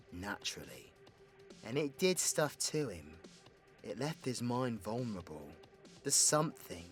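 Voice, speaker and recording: A cocky British male supervillain played by a voice actor with a bright, forceful edge over music. He swings widely in pitch as he spins his tale, teasing, swearing and flirting with theatrical relish. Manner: rambling, teasing, dramatic storyteller, sweary. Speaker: audio roleplay voice actor. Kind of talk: villain ASMR roleplay monologue. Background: background music.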